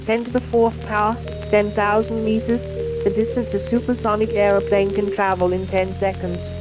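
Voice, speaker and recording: A woman's synthetic AI voice giving scripted educational science film narration in a measured, explanatory manner. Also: medium pitch, level tone, low-quality recording. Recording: music playing under the voice. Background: music.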